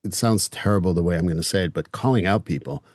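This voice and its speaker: Low-voiced Canadian host, dry sound. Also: male voice, fast talker, darkish tone, dry recording. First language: French. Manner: direct, joking, emphatic. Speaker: podcast host. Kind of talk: interview conversation.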